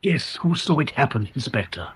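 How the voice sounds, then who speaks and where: A man's voice: medium pitch, sing-song, normal speed, husky. A man playing a wry, gossipy English local in a radio drama, informal and teasing. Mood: conspiratorial.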